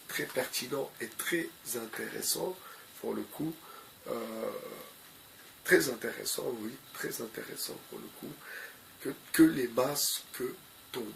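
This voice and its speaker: A man's voice: medium pitch, unhurried, gravelly, a bit bright, a little hiss or hum, low-quality recording. A man with a cold giving unscripted commentary to his viewers, hesitant and full of fillers, often repeating the same phrases. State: has a cold. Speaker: video commentator.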